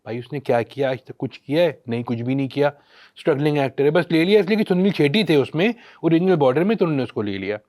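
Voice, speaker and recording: A man's voice: a little high, lively intonation, fast, a little mellow. A man with a Pakistani accent giving opinionated film commentary in an emphatic, sarcastic way, often posing rhetorical questions. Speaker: podcast guest, film critic.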